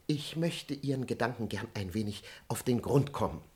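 A man's voice: medium pitch, lively intonation, moderate pace, a little mellow, natural voice. A man in a German radio play acting a talkative, pious, argumentative manservant with a theatrical, rambling delivery. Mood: argumentative, indignant. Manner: theatrical, indignant, rambling, rhetorical.

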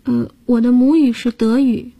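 A woman's voice: fairly high pitch, fairly even intonation, a little slow, vocal fry, mellow, slightly rough, muffled recording. A woman hosting a radio language lesson, patiently explaining grammar and guiding listeners step by step. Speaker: language teacher and host.

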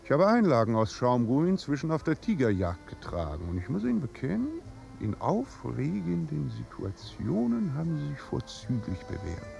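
An actor playing a dry, placid, world-weary duke in a 1950s German radio drama, measured, courtly and deadpan. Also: male voice, medium pitch, creaky, lo-fi sound, music in the background, full character voice.

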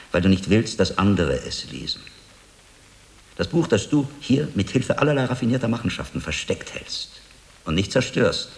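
An actor playing a shrewd, learned monk investigator in a German radio drama, speaking in a deliberate, insistent, articulate way. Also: male voice, low voice. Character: shrewd, learned middle-aged monk investigator, William of Baskerville. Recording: long hall reverb, background noise.

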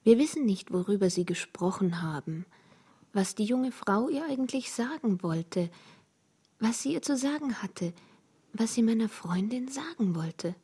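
An actress playing a pensive woman who recounts a story about her friend in a measured radio drama monologue. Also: on the high side, animated, mellow, slightly rough, full character voice.

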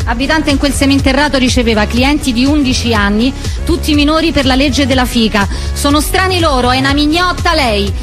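High-pitched woman performing theatrical spoken word. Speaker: stage performer. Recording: music under the voice. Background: music.

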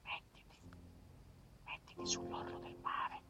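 A man voicing a hushed, solemn whisper that speaks poetically, as part of a theatrical monologue. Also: measured pace, lightly acted. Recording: dull, distant sound with music.

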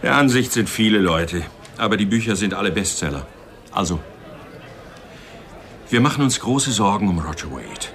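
An actor playing a polite, worried book publisher in a radio crime drama, businesslike and earnest. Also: male voice, fairly low pitch, lively intonation, normal speed, pauses often, fairly bright tone. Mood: concerned. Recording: studio radio play with bar crowd behind.

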